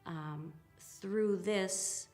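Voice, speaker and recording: A woman in her fifties giving a reflective personal account, speaking hesitantly with soft pauses and restarts. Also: mid-range voice, faint music behind. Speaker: interviewee, spiritual practitioner.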